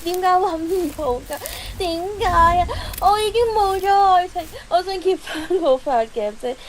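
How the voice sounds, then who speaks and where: A woman's voice: very high voice, expressive, normal speed, a little hiss or hum. A woman playing a heartbroken, devoted teenage schoolgirl in an audio drama, with pleading, emotional delivery. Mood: desperate, tearful. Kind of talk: Cantonese audio drama acting.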